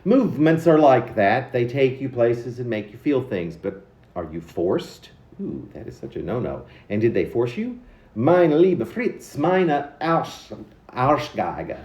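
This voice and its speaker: A man reading his own poem aloud theatrically, in an arch, mannered, halting delivery with dramatic emphasis. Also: medium pitch, darkish tone, roomy sound. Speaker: poet reading own work.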